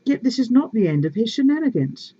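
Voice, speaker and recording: A woman reading a story aloud in a measured, expressive and wry way, with casual asides to her viewers. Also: fairly high pitch, breathy edge, lo-fi sound.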